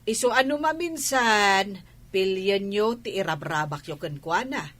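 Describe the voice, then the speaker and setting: A woman's voice: mid-range voice, expressive, measured pace, a bit bright. A woman acting an exasperated village grandmother in an Ilocano comedy radio drama, chiding and firm, with an Ilocano accent. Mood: stern, scolding.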